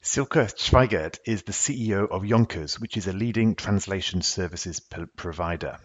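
A man's voice: low voice, moderate pace, breathy edge, muffled recording. A man hosting a podcast for executives, introducing his guest and interviewing her in an earnest way with frequent fillers. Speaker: podcast host and executive coach.

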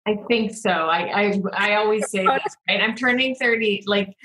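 A woman's voice: mid-range voice, normal speed, a bit bright, slight background noise, rough recording. A woman in her late thirties chatting in a casual group discussion, talkative and self-deprecating. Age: 30s. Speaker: panel guest.

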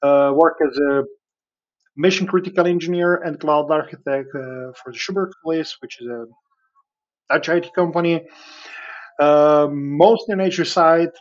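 A man from Serbia with a Serbian accent, speaking conversationally as an interview guest in halting phrases with frequent filled pauses. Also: a little high, dull audio. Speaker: podcast guest, cloud engineer.

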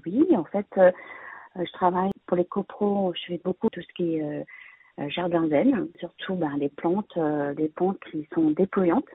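A woman's voice: on the low side, normal speed, husky, dry recording. A woman of Vietnamese heritage living in France, giving plant-care advice as an invited expert, speaking hesitantly with many fillers and restarts. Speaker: interior plant decorator, guest expert. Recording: dry, phone-like narrow sound.

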